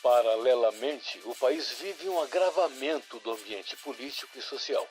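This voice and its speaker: A man's voice: medium pitch, expressive, darkish tone, soft background music, lightly acted. A man playing a formal, polished nineties radio news announcer with a Brazilian accent, reading a scripted bulletin in an audio drama. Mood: serious. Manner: formal, deliberate. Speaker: radio newsreader.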